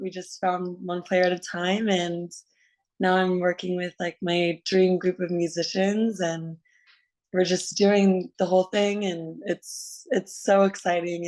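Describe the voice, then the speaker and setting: A woman in her twenties with a low, raspy voice, chatting eagerly as a musician guest on a video call, full of likes and restarts. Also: a little mellow. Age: 20s. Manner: enthusiastic, many likes and restarts. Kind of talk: casual interview chat.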